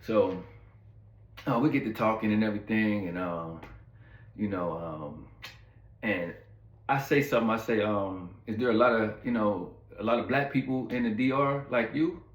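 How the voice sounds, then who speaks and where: A man's voice: fairly low pitch, moderate pace, highs cut off. Laid-back man rambling through a story in a roomy echo. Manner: rambling, laid-back, heavy on fillers and asides to viewers. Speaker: channel host telling a story. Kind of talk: casual storytime monologue.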